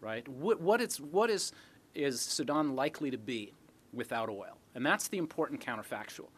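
A man's voice: mid-range voice, expressive, normal speed, husky. A middle-aged man answering audience questions as an academic speaker in a lecture hall, explaining through rhetorical questions. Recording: noisy lecture hall recording.

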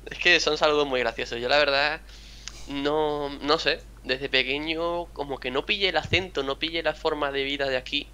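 A man's voice: a little high, steady tone, moderate pace, pushed and bright, clear, resonant tone. A young man from a town near Seville with a mild Andalusian accent, chatting casually and rambling as he hosts a talk over a video game. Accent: mild Andalusian Spanish. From Spain, a town near Seville. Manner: rambling, chatty, acts out quotes. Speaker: gaming channel host.

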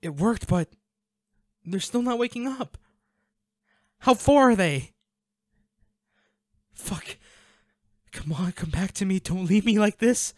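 Slow, anguished devoted boyfriend, acted by a man. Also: high voice, no room sound. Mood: panicked, pleading. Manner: pleading, emotional, halting. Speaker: voice actor in audio roleplay. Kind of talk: intimate dramatic audio roleplay, one-sided dialogue.

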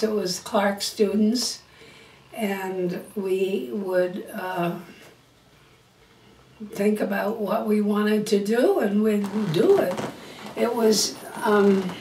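An older woman recalling college life in a slow, halting way. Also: medium pitch, darkish tone. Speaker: alumna interviewee. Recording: distant echoey room.

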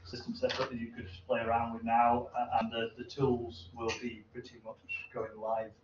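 A man's voice: medium pitch, monotone, moderate pace, far from the mic. Man presenting in an echoey room. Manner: hesitant, explanatory. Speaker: seminar presenter and researcher. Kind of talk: informal question-and-answer after a talk. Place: university seminar room, indoors. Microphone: distant camera microphone. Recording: echoey room from afar, dull, hissy.

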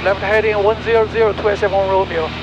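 A man's voice: high-pitched, steady tone, fast. A man piloting a small plane reads instructions back to air traffic control from the cockpit. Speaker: private pilot. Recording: muffled cockpit audio, loud engine drone. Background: loud aircraft engine noise.

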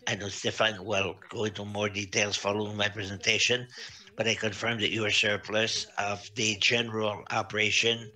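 A man presenting financial results to a council, reading out figures with many pauses and fillers. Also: on the low side, pushed and bright. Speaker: auditor presenting financial statements. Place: municipal council meeting over video link.

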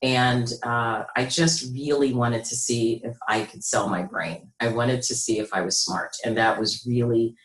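A middle-aged American woman with a rich, low voice, explaining her work in a measured, conversational interview style on a video call. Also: steady tone. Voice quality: rich, deep, low register. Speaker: guest, business consultant. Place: small room indoors at home or office. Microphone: computer mic on a video call. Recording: roomy, echoey video call sound.

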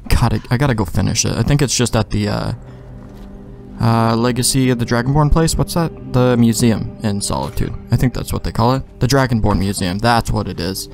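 Male gamer, casual commentary, rambling, slangy, sweary, mid-pitched voice, game music behind. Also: brisk, gravelly. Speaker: let's play gamer.

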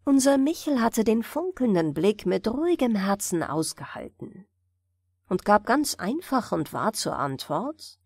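A woman reads a fairy tale as a bedtime audiobook. Her mid-pitched voice moves in lively, expressive curves. The recording is close and dry, with very little room. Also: a little slow, breathy edge, dry recording. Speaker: audiobook storyteller.

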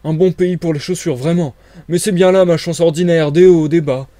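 A man's voice: fairly high pitch, steady tone, normal speed, warm tone, dry recording, voice pushed up, natural voice. A man voicing a grumbling, bewildered tramp in an audiobook, blustering and full of exclamations. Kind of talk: dramatized audiobook reading.

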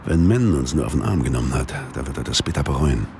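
A man's voice: extremely low pitch, animated, fast talker, noisy background. An actor playing a stern, irritable male police squad commander, terse and commanding, in an audio drama. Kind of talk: German audio drama acting.

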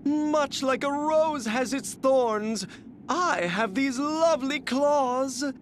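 A flamboyant, beauty-obsessed video game warrior, speaking in a strikingly high, effeminate voice. He takes his time over every flowery phrase, refined and theatrical. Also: slow, slight background noise. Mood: grand, poised.

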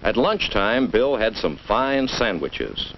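Dull vintage film soundtrack, American man, slow pace, very varied intonation, 1950s educational narration, measured, addressing a child. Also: fairly low pitch, big pitch swings, slight background noise. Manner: measured, addresses the child directly with rhetorical questions.

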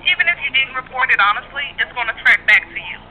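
A woman's voice: high-pitched, lively intonation, fast talker, a loud crowd. A woman working as a bank call center agent, handling a customer service phone call. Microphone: telephone. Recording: phone call with faint line noise.

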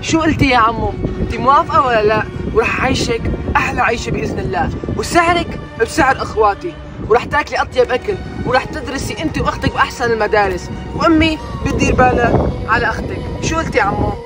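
Young Levantine man, acted for online moral drama: kind, concerned, very quick delivery, music heavy underneath. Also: very high-pitched, animated, poor audio. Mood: kind, earnest. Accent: Levantine Arabic. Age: young adult. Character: concerned, kind young rich man helping street children. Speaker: actor in short moral skit. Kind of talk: acted social media skit. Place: outdoors in an urban car park. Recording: loud music over the voice.

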